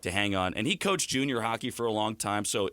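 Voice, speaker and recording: Low-voiced man talking hockey analysis. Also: animated, brisk, a bit bright, no echo. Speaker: hockey play-by-play broadcaster as studio guest. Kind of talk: conversational sports analysis.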